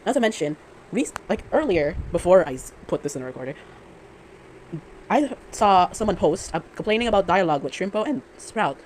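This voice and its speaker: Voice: mid-range voice, animated, fairly quick, rough recording. A fan commentator with a mid-range voice of ambiguous sex delivers an unscripted opinion rant. The delivery rambles and stammers, doubling back to correct itself with dry sarcasm. Noticeable noise sits behind the amateur recording.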